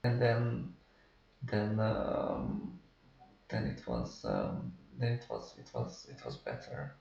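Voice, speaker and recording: A man, hesitantly telling his own story as an artist in an interview, pausing and restarting often. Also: fairly low pitch, big pitch swings, gravelly, a little mellow. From Hungary. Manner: hesitant, many pauses and restarts. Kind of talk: halting personal account. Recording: roomy, dull-sounding recording.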